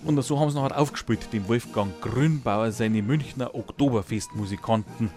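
A man with a Bavarian accent, a radio reporter narrating a feature and interviewing in a lively, folksy way. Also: medium pitch, moderate pace, soft background music.

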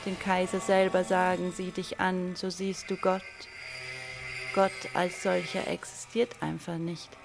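A woman recites literary text for a radio play in a deliberate, declamatory manner. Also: fairly low pitch, monotone, gravelly. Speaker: reader of an inventor's writings. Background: music.

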